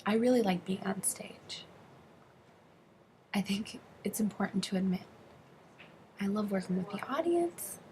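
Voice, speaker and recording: A woman working as an interpreter, voicing an interview answer in the first person, phrase by phrase with halting delivery. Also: medium pitch, lively intonation, a little mellow, slight background noise. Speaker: sign language interpreter voicing a deaf interviewee.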